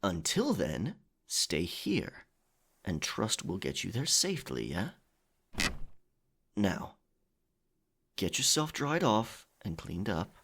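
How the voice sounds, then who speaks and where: Man acting a low-voiced, unhurried roguish pirate captain. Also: wildly swooping pitch, creaky, a bit bright, husky, exaggerated voice. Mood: firm, persuasive. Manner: gallant, coaxing, old-fashioned phrasing. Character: roguish male pirate captain, courteous but firm rescuer. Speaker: audio roleplay performer. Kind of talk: ASMR audio roleplay, intimate dramatic acting.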